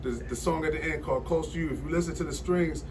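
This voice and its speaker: Forties male guest, rambling and filler-heavy, a bit high-pitched, recorded from a distance with noise. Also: steady tone, quick pace. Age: 40s. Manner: rambling storyteller, many fillers and restarts. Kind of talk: casual studio conversation.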